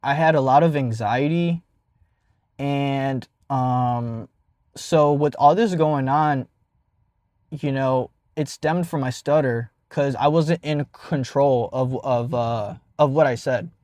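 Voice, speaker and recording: A man's voice: mid-range voice, slow pace, a little mellow, no echo, studio quality. Young man who stutters, telling a story. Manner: stutters, with many fillers and restarts. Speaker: vlogger. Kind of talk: casual storytime vlog.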